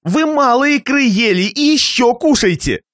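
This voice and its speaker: Guy doing a stingy merchant host from a satirical story, high plaintive voice, swingy pitch, fake polite but seething. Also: animated, normal speed, a bit bright, no echo, clean studio sound. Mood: strained, seething. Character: miserly, suffering merchant host, fawning yet seething. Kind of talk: dramatised reading of a satirical short story.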